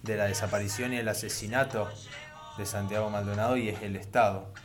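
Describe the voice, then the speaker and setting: A man with an Argentine accent presents a radio discussion in a fairly low voice, commenting and interviewing. Music plays clearly behind him. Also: fairly even intonation, brisk.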